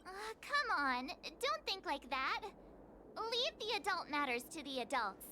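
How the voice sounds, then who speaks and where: A woman voicing a spirited, blunt young girl adventurer in a video game, expressive, with noise behind her. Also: sits high, measured pace, exaggerated voice. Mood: dismissive. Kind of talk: scripted video game acting.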